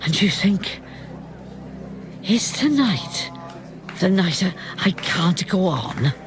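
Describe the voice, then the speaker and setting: A woman playing an ageing, proud and camp Welsh singing star, speaking theatrically with dramatic pauses in a radio play. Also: on the low side, big pitch swings, noisy background. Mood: haunted. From Wales. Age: older. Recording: music behind the voice.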